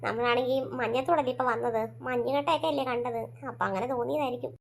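A woman as a soft, patient mother. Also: on the high side, steady tone, fast, creaky, a little hiss or hum, voice pushed down. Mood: reassuring.

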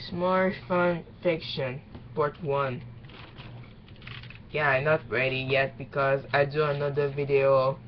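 A young woman doing a casual reaction vlog in halting, exclaiming, informal English. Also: very deep voice, steady tone. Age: young adult. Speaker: reaction video maker. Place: small bedroom, indoors. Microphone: webcam at arm's length. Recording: dull lo-fi webcam sound, faint noise.

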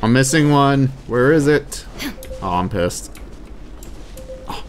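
A man giving casual, animated, exclamatory gaming commentary with plenty of swearing. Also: medium pitch, takes it slowly, a little hiss or hum. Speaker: let's play streamer. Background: video game music and effects.

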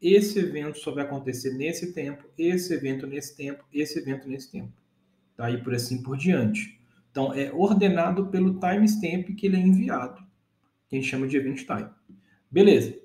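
Brazilian guy explaining data stuff on a whiteboard, kinda high voice but mellow, keeps asking if u follow. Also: moderate pace, roomy sound. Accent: Brazilian Portuguese. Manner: explains step by step, keeps checking in with listeners. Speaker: data engineering instructor. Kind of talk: casual whiteboard lesson.

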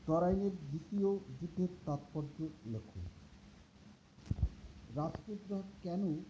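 A teacher reading out and explaining exam questions in short, deliberate phrases with pauses. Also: mid-range voice, warm tone. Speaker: teacher going through an exam paper. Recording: muffled lo-fi recording with clear background noise.

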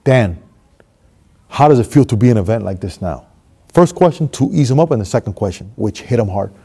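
A man in his thirties from Miami tells a motivational story, swinging between hushed and loud as he acts it out. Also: on the low side, animated, fairly quick, creaky, breathy edge. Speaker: motivational speaker. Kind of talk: storytelling monologue. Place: stage with red curtain, seated.

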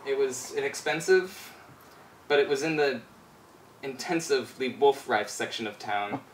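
A man's voice: mid-range voice, normal speed. Man performing rhyming tales in an echoey room. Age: 20s. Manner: playful, rhythmic delivery. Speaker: storyteller performer. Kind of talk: rhyming spoken-word fairy tale performance. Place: small bare indoor room. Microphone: far camera microphone. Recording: roomy, distant camera mic with background noise.

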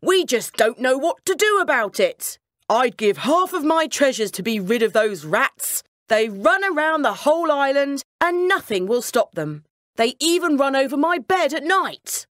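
Exasperated bedtime-story king, acted by a woman: troubled, dramatic, high and lively. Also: a little slow, no room sound, studio quality, full character voice. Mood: troubled, complaining. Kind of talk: children's bedtime story character voice.

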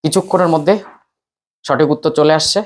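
A man's voice: on the high side, fairly even intonation, brisk. A man talks students informally through an exam paper as their teacher, announcing item by item in a repetitive way.

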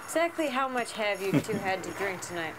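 A woman's voice: mid-range voice, very animated, a little fast, natural voice. A woman playing a stern, no-nonsense police officer in a comedy sketch, in a noisy clip. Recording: noisy film clip with outdoor ambience.

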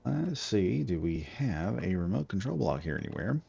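Deep-voiced man, relaxed game streamer. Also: normal speed, gravelly, breathy edge. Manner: relaxed, rambling, talks himself through each step. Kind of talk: casual gameplay commentary. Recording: slightly dull audio with faint music.

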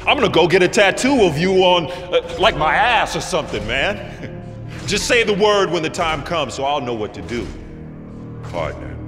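An actor playing an eager, fawning, foul-mouthed prison inmate in a video game, rambling from loud bursts to mutters. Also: male voice, fairly high pitch, sing-song, a little slow. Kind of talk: cinematic video game acting. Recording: echoey hall with loud music behind.

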